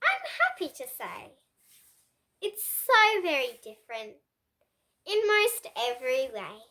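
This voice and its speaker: A child reading a picture book aloud in an expressive, halting way, with asides to young listeners. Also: high voice. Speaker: child reader, host of a kids' book channel.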